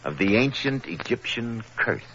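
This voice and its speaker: A man's voice: mid-range voice, expressive, normal speed. A man announcing and advertising on 1940s radio with a polished sales delivery. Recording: muffled 1940s radio recording with music. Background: music.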